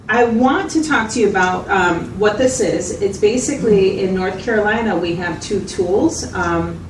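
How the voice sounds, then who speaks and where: A woman playing a patient, attentive mental health clinician who explains things in a measured way and checks for agreement. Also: medium pitch, natural voice. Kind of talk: acted clinical interview, explanatory. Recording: roomy, distant mic, noticeable background noise.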